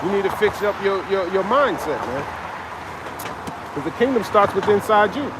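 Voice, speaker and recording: A man's voice: high-pitched, expressive, normal speed, background noise. A man preaching on a live stream, reading scripture aloud and expounding it in a confrontational, profane way. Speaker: street preacher.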